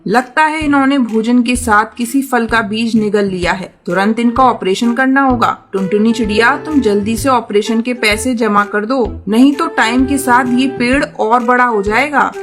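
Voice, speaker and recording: Cartoon casting: woman to play an urgent, no-nonsense bird physician, slightly high voice, soft music behind. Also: moderate pace, hardly any pauses, close to an everyday voice. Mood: grave, urgent. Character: serious female bird doctor. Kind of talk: children's cartoon voice acting.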